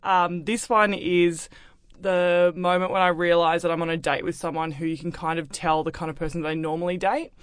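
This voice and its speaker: A woman's voice: on the low side, level tone, moderate pace, smooth, dry recording. A young Australian woman chatting as a podcast guest, her words tumbling out with plenty of fillers and easy laughter. The sound is close and dry, with no room around her voice. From Melbourne, Australia. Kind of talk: casual storytelling chat.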